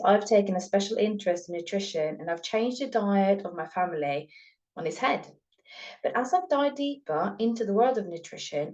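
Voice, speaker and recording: A woman's voice: medium pitch, a little mellow, rough recording. Casting: female podcast host, Norwegian accent, at ease with both scripted intros and chat.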